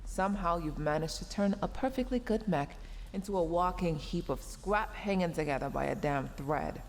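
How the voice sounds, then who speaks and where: A woman's voice: lowish voice, moderate pace, big-room sound, slight background noise, close to an everyday voice. A woman voicing a tsundere mech engineer in a sci-fi audio roleplay, sarcastic and exasperated, scolding while secretly caring. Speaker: roleplay voice performer.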